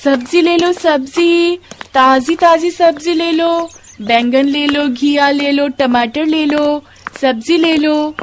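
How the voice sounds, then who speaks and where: A woman's voice: high voice, steady tone, takes it slowly, a little hiss or hum, voice pushed up. A woman voicing a caring, earnest young girl, a devoted daughter in a devotional storybook tale, with a pleading childlike manner. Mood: calling out wares. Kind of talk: devotional moral storybook dialogue.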